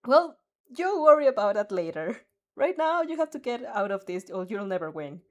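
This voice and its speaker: A young woman reading a second-person fanfic aloud, expressive and giggling at her own slips. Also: on the high side, normal speed. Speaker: fanfic reader.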